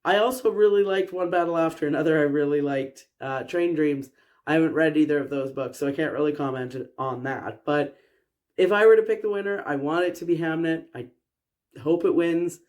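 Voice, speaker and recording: A woman's voice: deep voice, brisk, darkish tone. Froggy-voiced woman with a cold. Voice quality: froggy, rough voice. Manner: chatty and opinionated, listing picks. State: hoarse from a cold. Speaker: film review vlogger. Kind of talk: casual chat to camera.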